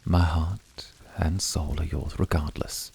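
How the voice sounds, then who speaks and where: Man acting a very deep, husky, composed incubus. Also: steady tone, darkish tone, exaggerated voice. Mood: teasing, devoted. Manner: slow, measured, formal, seductive, often whispery. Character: composed, poetic, devoted male incubus of calm, icy temper. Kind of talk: flirty ASMR roleplay acting.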